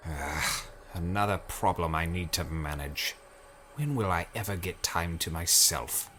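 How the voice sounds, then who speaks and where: A low-voiced, haughty high cleric. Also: male voice, expressive, measured pace, slight background noise, heavily acted. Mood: weary exasperation. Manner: pompous, exasperated, menacing. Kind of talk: dark fantasy audio drama acting. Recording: loud music behind the voice. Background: music.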